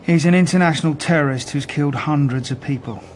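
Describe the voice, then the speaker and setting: A man's voice: a little high, fast talker, a little hiss or hum, close to an everyday voice. Weary, plain-spoken police detective played by a man. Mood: subdued. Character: weary, blunt, reassuring male police detective. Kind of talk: naturalistic radio drama acting.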